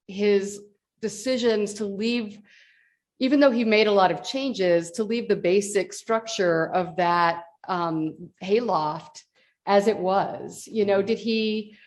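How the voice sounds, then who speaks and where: A woman leading a conference session, asking a long, enthusiastic question full of fillers. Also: medium pitch.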